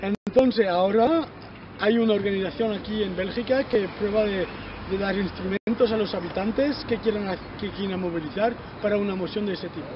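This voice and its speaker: Un hombre de voz bastante aguda da una charla con frases que se le rompen y vuelven a empezar. El audio suena apagado y de baja fidelidad, con un ruido de coches muy presente de fondo. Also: male voice, high voice. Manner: halting, many restarts and broken words. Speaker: activist speaker. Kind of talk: conference talk. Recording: dull lo-fi audio with loud traffic noise.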